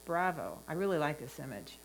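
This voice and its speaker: A woman with a notably low voice gives a prepared critique as a photo judge. She is measured, instructive and encouraging. A faint electrical hum sits behind her. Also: very animated, poor audio. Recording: clear mains hum.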